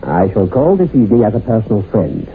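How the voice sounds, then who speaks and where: An actor playing a grave, urbane, insistent psychiatrist with a formal, authoritative manner, in a radio horror drama. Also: male voice, lowish voice, sing-song, quick pace. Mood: urgent insistence. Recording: tinny, hissy old radio drama recording.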